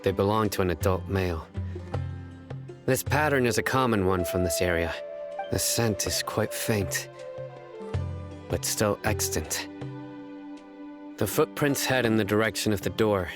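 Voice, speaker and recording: An actor voicing a stern, composed investigator in an anime video game, terse, measured and deadpan. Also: male voice, on the low side, music in the background, natural voice. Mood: grave, analytical.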